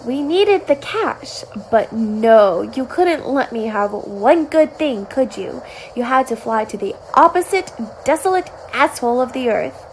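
A woman's voice: sits high, expressive, a little slow, slight background noise, poor audio. A woman playing a bitter, fed-up, sarcastic wife with a cutting manner in a radio play. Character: bitter, sardonic, fed-up wife of rich spouse.

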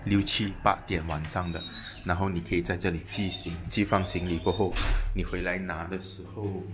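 A man's voice: deep voice, level tone, brisk, music in the background. A man casually walking viewers through a hotel, chatting and pointing things out as he films with a handheld camera. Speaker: travel vlogger. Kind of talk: casual hotel tour narration. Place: hotel lobby and rooftop, walking. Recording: noisy handheld camera audio.

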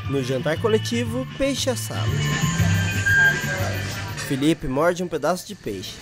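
High, lively male narrator over music. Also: unhurried. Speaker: audio description narrator. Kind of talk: scripted narration. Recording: voice-over with loud music under it.

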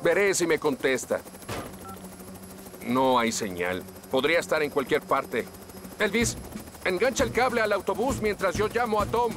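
Cartoon hero fireman played by a man: dependable, decisive, animated delivery, Latin American accent, music underneath. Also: a little high, normal speed, long pauses, natural voice. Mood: brisk, concerned. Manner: reassuring, decisive. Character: calm, reassuring heroic male firefighter. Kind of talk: animated cartoon dub acting. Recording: music behind the dialogue.

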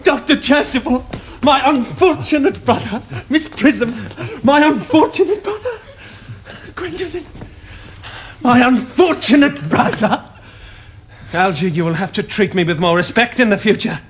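An actor playing an excitable, earnest young Victorian gentleman with an upper-class English accent, in formal theatrical diction for a television period comedy. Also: very high voice, a little slow. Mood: elated, indignant. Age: young adult.

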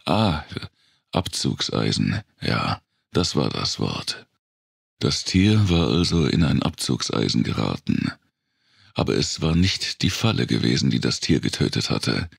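A man reading a dark thriller audiobook as a dramatic narrator, brooding and deliberate, with pauses for effect and a gravelly, husky voice. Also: a bass voice, no room sound, studio quality.

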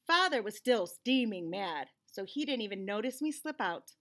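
A woman in her forties giving an expressive, dramatized storybook read-aloud for children in a small room. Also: medium pitch, moderate pace. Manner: animated, clearly dramatized for children.

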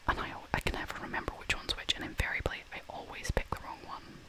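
Whispering young woman, ASMR style. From half Scottish, half American. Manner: unhurried, informal, chatty. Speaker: vlogger showing purchases. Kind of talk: whispered ASMR shopping haul.

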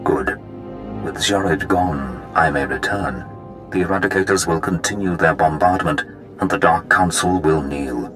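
An extremely low-voiced dark lord from a sci-fi video game. He is imperious and menacing, speaking in deliberate, commanding pronouncements over clearly audible music. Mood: triumphant. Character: menacing, imperious male Sith lord seeking to rule. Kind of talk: dramatic video game voice acting.

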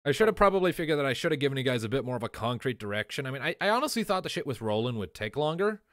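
A man's voice: medium pitch, sing-song, racing, dry recording, clean studio sound. A man hosting a casual podcast chat as game master, talking animatedly and swearing casually.

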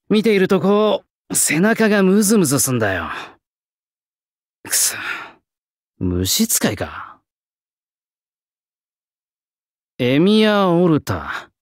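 Blunt young male craftsman for an anime game: lightish pitch, very animated, rough old-fashioned speech, dry close sound. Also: no room sound. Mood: irritated. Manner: rough, blunt, old-fashioned working-class turns of phrase. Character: gruff, plain-spoken young male swordsmith spirit, proud craftsman. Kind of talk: anime-style mobile game character lines.

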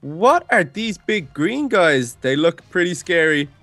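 A man's voice: on the high side, sing-song, measured pace, a bit bright, soft background music. A man giving playful improvised roleplay commentary in a gaming video, narrating the action and repeating short commands.

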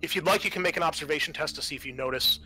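A man narrating a tabletop roleplaying game as game master, explaining things in a halting, improvised way. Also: on the high side, sharp tone, breathy edge.

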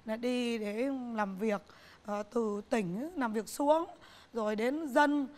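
A middle-aged woman, a business owner, answering interview questions on a TV talk show set in an earnest, halting way, often repeating herself. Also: a little high, darkish tone. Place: TV studio set, indoors.

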